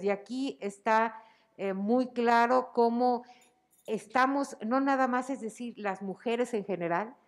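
Mexican woman, middle-aged, talking slow at an award ceremony, lots of "eh" and restarts, handheld mic, mask on. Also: mid-range voice. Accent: Mexican Spanish. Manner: slow and measured, many fillers and restarts. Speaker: human rights commission president. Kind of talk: unscripted award ceremony speech. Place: indoor event stage. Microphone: handheld mic, masked.

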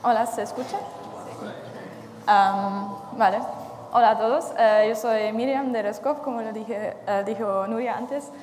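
A young woman giving a conference talk for a cooperative federation, pausing often as she searches for words in a second language. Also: on the high side, steady tone. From lives in Brussels. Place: large hall with audience. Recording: reverberant hall with faint noise.

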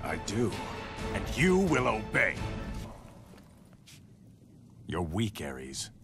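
A man's voice: fairly low pitch, huge pitch swings, slow, creaky, music in the background, full character voice. A man playing a proud, commanding undersea warrior king in a video game cutscene, speaking tersely and imperiously.

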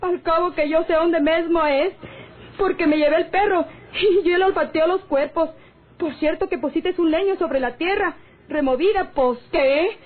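Casting: a defiant ranch wife, rural northern Mexican accent, extremely high voice, tinny vintage radio serial. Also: female voice, normal speed. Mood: hurt, threatening. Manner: plaintive, rustic folksy speech. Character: defiant, aggrieved rural peasant wife, sharp-tongued. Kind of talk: old Mexican radio drama acting. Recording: tinny, band-limited old radio serial.

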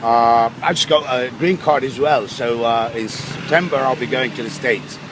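A man's voice: mid-range voice, normal speed. A man from Bulgaria with a Bulgarian accent, chatting casually as an attendee at an outdoor event. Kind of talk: casual chat. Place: outdoors in a crowd. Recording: outdoor recording with crowd and traffic.

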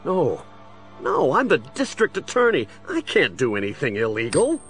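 An actor playing a tormented district attorney cracking into a villain, brooding and theatrical, in a superhero audio drama. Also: male voice, fairly high pitch, wildly swooping pitch, normal speed, a bit bright, soft background music, full character voice. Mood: brooding, unhinged. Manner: brooding, theatrical, dragging out words. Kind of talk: full-cast superhero audio drama acting.

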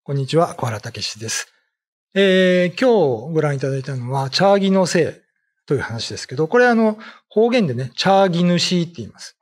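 Middle-aged male commentator, highish husky voice, animated intonation, conversational explaining with frequent pauses. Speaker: ghost story writer and commentator. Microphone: desk condenser mic with pop filter.

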